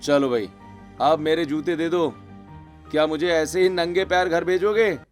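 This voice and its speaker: A man's voice: a little high, unhurried, faint music behind, natural voice. A man voicing a wry, teasing young bridegroom and older brother in a cartoon story. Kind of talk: Hindi cartoon story acting.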